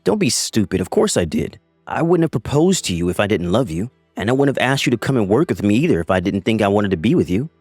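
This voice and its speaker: A man's voice: fairly low pitch, very animated, fast, a little mellow, no room sound, soft background music. A man playing a cold, condescending husband. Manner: dismissive, condescending. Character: cold, arrogant husband in his thirties.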